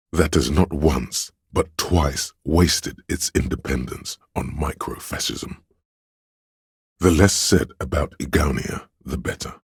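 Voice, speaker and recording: Very deep, gravelly know-it-all inner voice. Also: male voice, dry recording, clean studio sound, heavily acted. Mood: informative, dismissive. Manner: measured, erudite, matter-of-fact, pedantic. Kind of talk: scripted game narration of trivia and facts.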